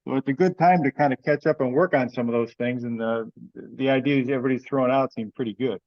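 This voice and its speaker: A man's voice: mid-range voice, fast, dry recording. A man speaking informally and matter-of-factly as he organizes things in a meeting. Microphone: group teleconference call. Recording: dry, dull lo-fi conference call audio.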